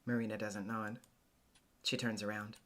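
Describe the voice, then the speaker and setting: A man narrating a visual novel in the first person, acting it out in an introspective, hesitant, deliberate way. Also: on the low side, flat delivery, fairly bright tone. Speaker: voice actor, narrator.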